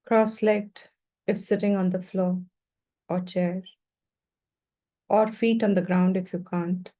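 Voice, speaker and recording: A woman guiding a meditation as a teacher, speaking gently and without hurry in short, spaced phrases. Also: on the low side, fairly even intonation. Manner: gentle, unhurried, pausing between short phrases. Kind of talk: guided meditation instruction.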